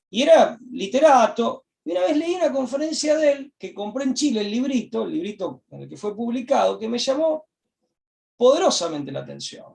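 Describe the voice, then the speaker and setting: Gravelly high-voiced Argentine man, lecturing. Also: distant mic. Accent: Argentine Spanish. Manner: discursive, repeats key phrases, frequent hesitations. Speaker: interview guest. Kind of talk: reflective political commentary.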